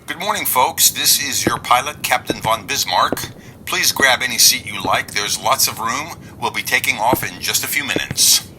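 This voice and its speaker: A man's voice: medium pitch, normal speed, background noise, close to an everyday voice. A man playing a reassuring autopilot airline captain making breezy, official cabin announcements in a radio drama.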